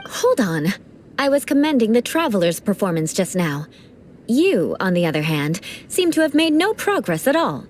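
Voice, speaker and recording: A woman's voice: medium pitch, big pitch swings, normal speed, darkish tone, a little hiss or hum, natural voice. A woman voicing a proud, formal young noblewoman knight who mentors with dry, teasing remarks in a video game. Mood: cool. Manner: formal, haughty, dryly teasing. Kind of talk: game cutscene voice acting.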